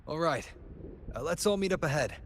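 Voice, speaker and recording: A young adventurer in a game cutscene. He is calm and decisive yet varied in intonation, with clear ambient noise behind him. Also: on the high side, normal speed. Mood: concerned, practical. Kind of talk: video game cutscene acting.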